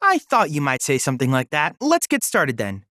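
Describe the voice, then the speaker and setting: Eager, polite boy cyborg researcher, lively pitch. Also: moderate pace, no room sound, studio quality, full character voice. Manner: formal, precise, courteous, chipper. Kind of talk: scripted video game character dialogue.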